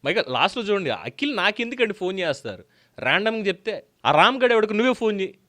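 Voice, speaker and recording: A man's voice: high-pitched, very animated, quick pace, pushed and bright, no room sound. A man hosting in a casual, chatty commentary style, dropping English words into his talk. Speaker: show host.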